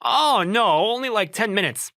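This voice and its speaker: A man's voice: high-pitched, animated, normal speed, bright, forceful tone. A man playing an awkward, modest young working man in a romcom manga dub, musing dryly and hesitantly about himself. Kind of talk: manga dub voice acting with inner monologue.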